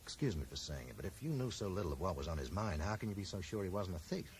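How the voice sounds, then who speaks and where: An actor playing a courteous, probing bookseller who questions people in a measured, polite way in a 1980s radio drama. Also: male voice, low-pitched, animated, darkish tone. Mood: earnest, courteous. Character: probing, polite bookseller investigating a stranger's death. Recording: slightly noisy old radio broadcast recording.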